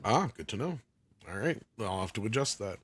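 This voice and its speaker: A man's voice: on the low side, sing-song, brisk, crowd noise. A man hosting a talk show, chatting loosely and reading out viewer comments with the odd swear word. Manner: loose and conversational, swears casually. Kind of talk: casual chat.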